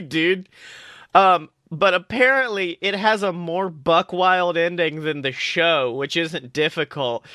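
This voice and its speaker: A man co-hosting a podcast in casual pop-culture chat, animated, slangy and given to swearing. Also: on the high side, no echo, studio quality. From United States. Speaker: podcast co-host.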